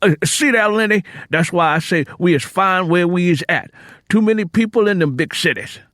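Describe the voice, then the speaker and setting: Man voicing a wary, high-voiced Southern homebody. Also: moderate pace, fairly bright tone, no echo, clean studio sound. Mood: self-justifying. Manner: folksy rural dialect, pointed. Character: wary, self-justifying male small-town Southern homebody, cynical. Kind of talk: acted audiobook character dialogue. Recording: music playing under the voice.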